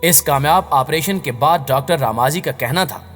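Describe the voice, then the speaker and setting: A man's voice: on the high side, moderate pace, fairly bright tone. A man reading a scripted dramatic story as a voice-over narrator. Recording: long reverb on the voice. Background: background music.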